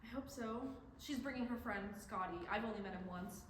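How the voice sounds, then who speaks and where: In an echoey room with faint noise, a woman plays an anxious young student researcher. She is earnest and defensive, with a fairly high voice that stammers through its sentences. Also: fairly quick, natural voice. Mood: hesitant, nervous. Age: young adult. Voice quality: hesitant, stammering delivery. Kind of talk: staged play reading.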